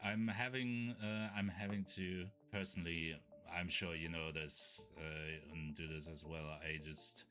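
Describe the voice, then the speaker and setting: A man with a German accent chats casually as a podcast co-host. His voice sits very low. He often stops to search for words, and faint music plays in the background. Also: fairly even intonation, measured pace, few pauses, bright, forceful tone. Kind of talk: casual chat.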